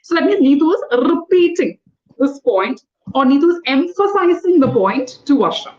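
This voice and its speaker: A woman's voice: sits high, sing-song, moderate pace, darkish tone, lo-fi sound. A woman teaching a one-on-one lesson, patient and corrective, spelling words out letter by letter. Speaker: English trainer.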